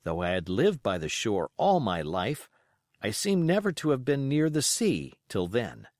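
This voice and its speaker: A man narrates classic adventure fiction in a middle-pitched voice. His delivery is measured and expressive, rising and falling in an animated way. The sound is dry, with no room around it. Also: dry recording. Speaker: audiobook reader.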